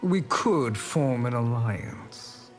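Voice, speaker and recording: Very slow, sly scheming sorcerer. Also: male voice, medium pitch, animated, soft background music. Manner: insinuating, drawn-out. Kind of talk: video game voice acting.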